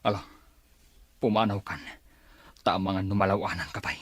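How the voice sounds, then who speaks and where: A man's voice: deep voice, little pitch movement, normal speed, a bit bright, no echo, studio quality. An actor playing the father of a family in a radio drama. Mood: stern. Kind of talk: Ilocano radio drama acting.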